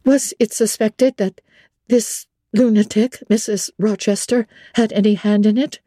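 An earnest young woman, voiced by an audiobook reader, pushes her questions with eager intent. The voice is lifted and husky, recorded dry. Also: medium pitch, steady tone, a little slow, dark tone, no echo, pitched above the performer's own voice, close to an everyday voice. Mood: urgent. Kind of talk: audiobook character reading.

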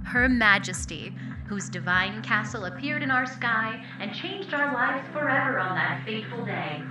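Grand royal announcer played by a woman, female, higher voice, formal, reverent, clear music bed, audio drama. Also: lively intonation, normal speed, lightly acted, rough recording. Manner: pompous, formal.

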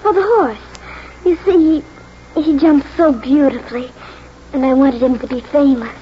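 A woman's voice: high-pitched, moderate pace, close to an everyday voice. A woman playing an earnest fourteen-year-old girl rider devoted to her horse, in a 1940s radio drama style. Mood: earnest, shy. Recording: noisy dull 1940s radio recording.

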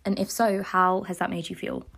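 A woman's voice: on the low side, fairly even intonation, normal speed, gravelly, fairly bright tone, husky. A young woman hosting a podcast, reading a scripted personal essay in an earnest tone. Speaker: podcast host.

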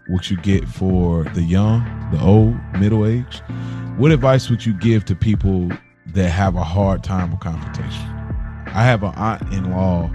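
A man co-hosting a podcast, chatting casually and earnestly, repeating phrases for emphasis. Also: low voice. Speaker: podcast co-host. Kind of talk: casual conversation.

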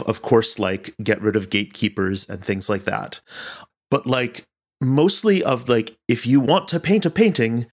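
A man speaking as an interviewed director, thinking aloud in halting, broken phrases in a reflective conversational style. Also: medium pitch. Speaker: podcast director and producer being interviewed.

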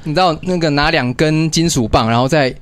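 Radio host, young man, Taiwanese accent: fairly high-pitched, halting, self-joking, casual chat. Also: a bit bright. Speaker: radio show host and comic artist.

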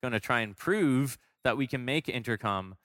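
A man with a crisp, bright voice delivers a prepared talk in a very dry recording. He explains his points in an orderly way, slipping in conversational asides as a presenter would. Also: medium pitch, lively intonation, moderate pace, no room sound. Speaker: product design director giving a talk. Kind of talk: prepared conference-style presentation.

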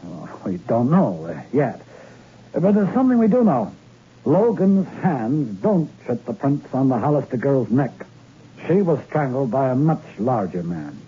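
An actor playing a gruff, world-weary, paternal police lieutenant in a clipped, dry style of 1960s radio crime drama. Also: male voice, medium pitch, very animated, unhurried, narrow, tinny audio, full character voice. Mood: musing. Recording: thin, noisy old radio recording.

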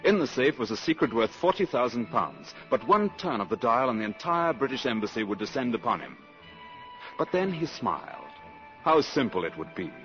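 A man giving scripted, suspenseful, measured narration for a 1950s radio drama. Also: medium pitch, very animated, fairly bright tone. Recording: dull old radio broadcast, faint music.

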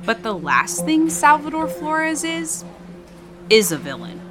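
A woman's voice: high-pitched, very animated, slow pace, music in the background, heavily acted. An actress playing a nosy, flippant woman who enjoys other people's drama, in an audio drama. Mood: frank, measured.

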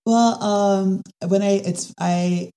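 A woman, a design specialist talking through her ideas in a casual interview, often pausing and restarting. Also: medium pitch, steady tone, mellow. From Caribbean. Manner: thoughtful, with frequent restarts and fillers. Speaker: design and construction specialist guest.

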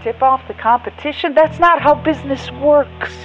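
An actress playing a dismissive business rival on a phone call in an audio drama, impatient and condescending. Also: female voice, sits high, moderate pace, soft background music, natural voice. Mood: scornful. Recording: dull band-limited phone sound.

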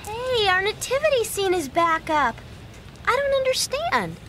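A woman playing an excitable, puzzled child in a children's radio drama. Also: extremely high pitch, measured pace, a loud crowd, heavily acted. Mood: puzzled, surprised. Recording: very noisy radio drama clip, animal sounds.

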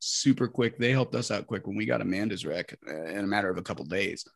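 A man co-hosting a casual podcast with a raspy, fry-heavy voice, swearing freely and clearing his throat. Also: fairly low pitch, fast talker, no room sound, studio quality. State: high on cannabis. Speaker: podcast co-host. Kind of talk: casual chat.

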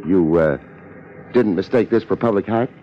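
An American actor playing a laid-back but tough private investigator in a 1950s radio drama, with wry, slangy, hard-boiled delivery. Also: male voice, deep voice, normal speed, soft background music, lightly acted. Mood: wry, intrigued. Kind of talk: 1950s radio detective drama acting with narration. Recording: dull, lo-fi 1950s radio recording.

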